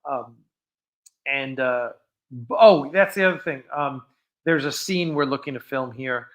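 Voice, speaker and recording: A man's voice: mid-range voice, measured pace. A man hosting a live stream, chatting casually and off script in a rambling, enthusiastic way.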